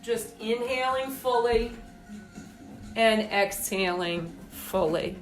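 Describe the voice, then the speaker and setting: Lady doing a guided meditation real slow and soothing, roomy and far from the mic. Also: on the high side. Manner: slow, deliberate, soothing phrasing with pauses. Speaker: group exercise coordinator leading guided meditation. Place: indoors on a rug by firelight. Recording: roomy, distant mic, music behind.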